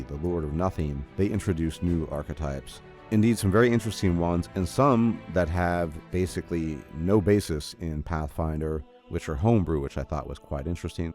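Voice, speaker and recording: Chatty deep-voiced host with a stuffy cold, faint music underneath. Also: male voice, darkish tone. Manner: enthusiastic, chatty, formal greeting. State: congested from a cold. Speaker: gaming video host. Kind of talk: casual solo commentary to camera.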